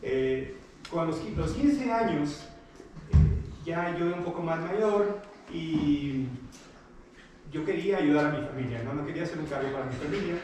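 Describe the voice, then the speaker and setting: A man's voice: a little high, moderate pace, noisy background. Hesitant man speaking in a distant echoey hall. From Guatemala. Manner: hesitant, many fillers and restarts, friendly. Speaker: guest speaker. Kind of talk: informal talk to students. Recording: echoey hall, picked up from afar.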